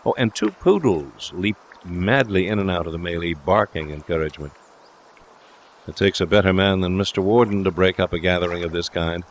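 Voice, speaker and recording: A man's voice: sits low, normal speed, slight background noise. A man reading scripted literary narration as an audiobook narrator.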